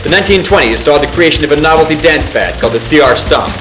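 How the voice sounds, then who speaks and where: A man's voice: a little high, expressive, echoey room, close to an everyday voice, poor audio. A man playing a solemn military narrator, reading a mock government film in a formal, pompous manner. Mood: grave, officious. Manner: formal, pompous, deliberate enunciation. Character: solemn male military briefing narrator, grave and officious. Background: music.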